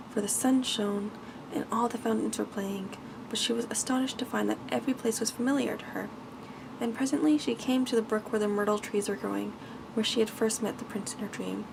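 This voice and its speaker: Female ASMR storyteller, slightly high voice, noticeable creak, bedtime reading, clearly noisy recording.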